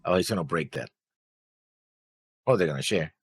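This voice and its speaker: A man's voice: low voice, lively intonation, moderate pace, husky, no room sound, studio quality, faint music behind. A man co-hosting a reaction show, chiming in with short remarks and agreement. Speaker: co-host reacting. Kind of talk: casual reaction chat.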